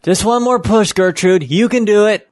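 A man playing a gentle, reassuring farmer in a children's audio drama, soothing and encouraging. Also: high voice, moderate pace, dry recording, clean studio sound, lightly acted.

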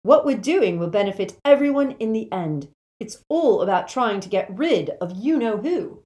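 A woman's voice: mid-range voice, expressive, moderate pace, mellow, pitched above the performer's own voice, close to an everyday voice. A woman as a blunt, earnest teenage boy. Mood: firm. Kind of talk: audiobook character voice.

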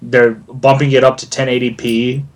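A man's voice: medium pitch, little pitch movement, moderate pace. A man co-hosting a gaming podcast, blunt and matter-of-fact. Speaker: podcast co-host. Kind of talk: casual gaming podcast chat.

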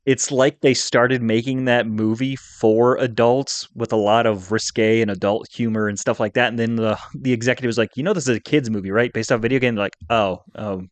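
A man's voice: mid-range voice, fairly even intonation, a little fast, few pauses, no echo. A man co-hosting a podcast, chatting casually in a talkative, rambling, conversational way. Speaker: podcast co-host.

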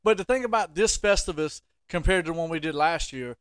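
A man's voice: fairly high pitch, brisk, fairly bright tone, clean tone, dry recording. A man hosting a casual sports podcast, chatting and ribbing his guest. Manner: teasing, ribbing. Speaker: podcast host.

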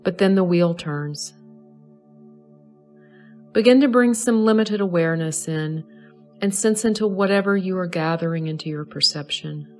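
A woman leading a guided meditation in a soothing, measured way, pausing between short phrases. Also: on the low side, darkish tone, faint music behind. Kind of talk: slow guided meditation narration.

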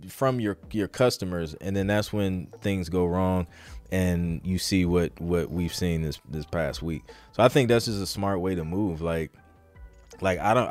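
A man hosting a podcast in a casual live Q&A, talking informally with slang and thinking aloud as he goes. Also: fairly low pitch. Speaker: podcast host answering listener questions.

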